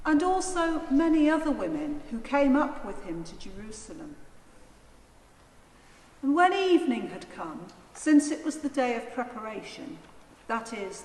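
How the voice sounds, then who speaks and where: Slow, expressive woman reading scripture. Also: on the high side. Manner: measured, deliberate. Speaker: scripture reader, narrator. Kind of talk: solemn dramatised Gospel narration. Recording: echoey church hall, faint noise.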